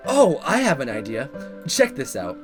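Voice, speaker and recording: A man's voice: medium pitch, wildly swooping pitch, normal speed. Guy doing a goofy cocky teen schoolboy for a visual novel, swoops around a lot, music behind. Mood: mischievous, cocky. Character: mischievous, awkward teenage schoolboy, cocky prankster. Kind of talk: animated visual novel read-aloud. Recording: music playing under the voice.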